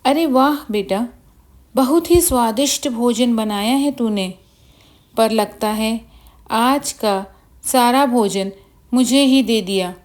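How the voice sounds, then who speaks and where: Weary sick village mother , female narrator acting, plaintive, unhurried, bedtime-story style. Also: on the high side, a little mellow. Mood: sad, grateful. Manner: plaintive lamenting. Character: sick, weary, self-pitying poor old village mother.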